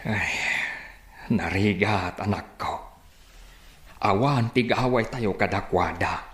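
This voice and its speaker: A low-pitched, gentle older father in a radio drama. He counsels patiently, in a measured, admonishing way. The sound is roomy and echoey. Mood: resigned patient.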